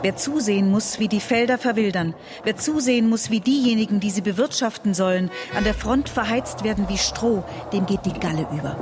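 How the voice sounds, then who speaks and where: A woman acts out a hopeful, moderate liberal in a radio play. She reasons earnestly and in a measured way, in a voice of medium pitch. Music is clearly heard behind her. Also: close to an everyday voice. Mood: conciliatory. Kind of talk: German radio drama acting.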